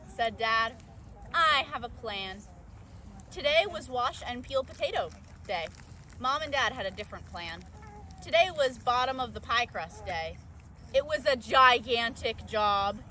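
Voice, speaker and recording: A woman's voice: on the high side, bright, forceful tone. A woman reading a children's story aloud as a volunteer storyteller, expressively acting out the dialogue and sound words, recorded outdoors. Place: outdoors at an orchard event. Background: outdoor ambience and background noise.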